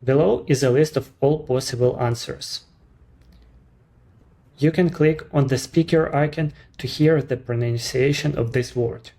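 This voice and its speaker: Man, Ukrainian accent, native Ukrainian speaker, measured explanatory delivery, software walkthrough narration. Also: medium pitch, creaky. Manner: measured, explanatory, slightly non-native phrasing. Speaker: app creator presenting his service. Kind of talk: screen-recorded software walkthrough.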